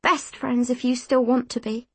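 A gentle young girl from a storybook, played by a woman narrator, eager to make peace. Her high, breathy voice is earnest and apologetic, captured close and dry. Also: flat delivery, normal speed, no echo. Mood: hopeful. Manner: earnest, conciliatory.

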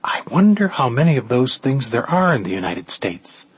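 A man voicing a brisk, eager young woman researcher in an audiobook, chatty and assertive. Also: medium pitch, sing-song, slightly rough, no room sound, pitched above the performer's own voice. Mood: eager, curious. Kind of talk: audiobook character dialogue.

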